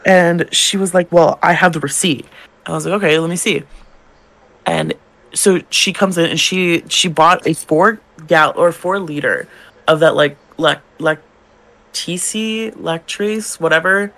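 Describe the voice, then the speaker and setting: Young male drag queen podcaster, slightly high gravelly voice, chatty and animated. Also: a little slow, poor audio. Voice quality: gravelly, feminine-leaning voice. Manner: animated, swearing, acts out customers' lines. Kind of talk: casual storytelling chat.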